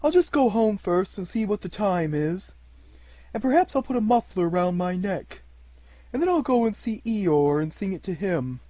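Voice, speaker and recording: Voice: medium pitch, animated, normal speed, mellow, dry recording, pitched above the performer's own voice, natural voice. A storyteller voicing a cheerful, muddled young bear of little brain, happy and earnest in a slightly dreamy way. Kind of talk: storybook reading.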